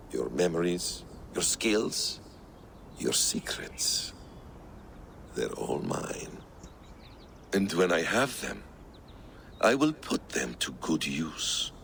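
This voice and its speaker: A menacing, possessive game villain with an extremely deep voice that swings widely in pitch. He speaks slowly and deliberately, sounding calm and ominous over faint noise. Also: creaky, heavily acted. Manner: slow, deliberate, ominous phrasing. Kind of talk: dramatic video game cutscene acting.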